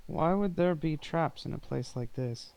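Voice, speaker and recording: A man's voice: fairly high pitch, normal speed, gravelly, darkish tone, smooth. A man playing a tabletop role-playing game, stating his character's actions matter-of-factly. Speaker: player. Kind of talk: casual tabletop play.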